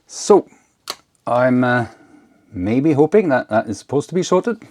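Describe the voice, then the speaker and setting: A man giving casual, unscripted hands-on narration as an electronics hobbyist, halting and half talking to himself as he works. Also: medium pitch, lively intonation, a little mellow. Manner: halting, muttering to himself while working.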